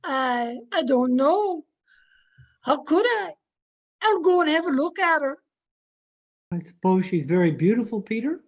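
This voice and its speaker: A woman's voice: high voice, sing-song, measured pace, pauses often, full character voice. A woman playing an uneasy, prying woman of the household in an old-time radio drama. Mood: uneasy, curious. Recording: dull home video-call audio.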